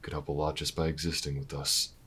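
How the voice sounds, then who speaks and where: An actor playing a weary, wry, protective investigator in an intimate audio drama, low-key and dry, with hesitant pauses. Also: male voice, level tone, pushed and bright, heavily acted. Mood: grave. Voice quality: very deep, gravelly, husky. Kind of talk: audio roleplay acting, intimate and naturalistic.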